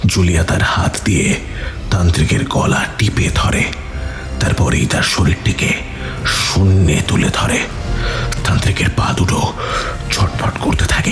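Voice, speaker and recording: A man narrating a scripted horror story in a dramatic, breathy, suspenseful manner. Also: sits low. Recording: music under the voice.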